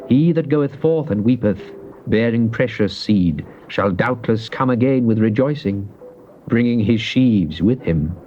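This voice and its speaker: A man giving a dramatized recitation of psalms, solemn and declamatory, with drawn-out emphasis. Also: medium pitch, expressive, mellow. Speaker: scripture reader. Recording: muffled, noisy old cassette tape.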